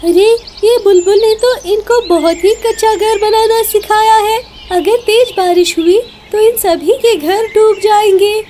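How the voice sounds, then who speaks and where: Woman as a very high, mellow little cartoon bird. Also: measured pace, hardly any pauses, slight background noise. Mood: worried. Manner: exclamatory, earnest. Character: small, earnest female cartoon bird. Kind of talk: Hindi cartoon story dialogue.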